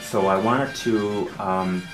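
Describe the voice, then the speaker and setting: A man's voice: on the low side, slow. A man, an artist, explaining his work hesitantly with many fillers. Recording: echoey room with faint music.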